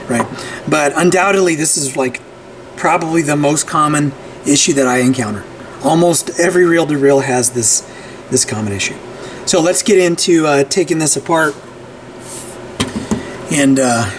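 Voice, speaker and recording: A man's voice: fairly high pitch, a little hiss or hum, rough recording. A man hosting a casual repair tutorial at his workbench, talking conversationally and often checking in with "right?". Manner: conversational, frequent "right?" and restarts. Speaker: repair channel host. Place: workbench room.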